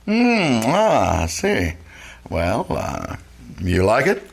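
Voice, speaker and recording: A man's voice: medium pitch, wildly swooping pitch, very slow, creaky, slightly rough, heavily acted. A man acting a diffident, flustered writer of thirty with a hesitant stammer, in 1940s radio comedy style. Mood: wry. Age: 30s. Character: diffident, awkward thirty-year-old writer, wry and flustered.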